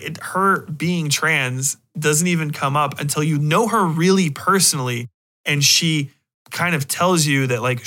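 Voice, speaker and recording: A man's voice: medium pitch, pushed and bright. A man hosting a podcast, giving his opinions in a casual, rambling, emphatic way with plenty of swearing. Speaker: podcast host.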